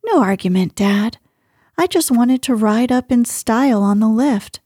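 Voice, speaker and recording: A woman's voice: fairly high pitch, lively intonation, unhurried, mellow, husky, no echo, clean studio sound, voice pushed up. A woman voicing a cheeky, mischievous teenage girl who talks in a playful, teasing way.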